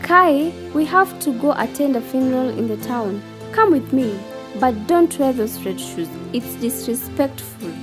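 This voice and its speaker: A woman's voice: high-pitched, lively intonation, a little slow, few pauses, music in the background, voice pushed up. A woman voicing a long-suffering, reproachful old lady guardian in a children's fairy tale, full of exclamations. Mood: firm.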